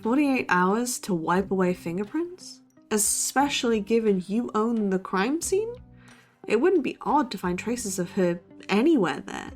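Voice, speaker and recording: A woman's voice: medium pitch, sing-song, a little slow, faint music behind. A woman playing a skeptical young college true-crime podcaster in an audio drama, with a dry, sardonic, analytical delivery. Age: young adult. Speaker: podcast co-host. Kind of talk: scripted banter in a horror audio drama.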